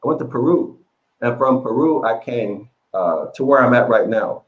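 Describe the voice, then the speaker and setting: A man's voice: mid-range voice, lively intonation, normal speed, phone-quality sound. A man from the United States, a guest telling his story in a rambling way with many fillers over an online call. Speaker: interview guest, author. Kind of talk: casual storytelling interview.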